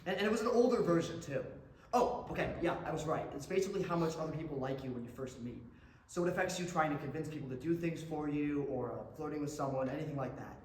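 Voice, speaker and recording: A woman's voice: low voice, quick pace, a little mellow, close to an everyday voice. A woman acting a laid-back, teasing young male gamer in a staged play reading, casual and slangy. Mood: explaining, mildly exasperated. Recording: echoey room, faint noise.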